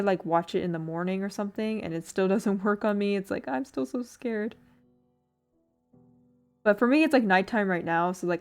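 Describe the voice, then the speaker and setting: Woman streamer, casual rambling chat over a craft build, filler-heavy and giggly, soft music underneath. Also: medium pitch. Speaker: streamer building a model kit.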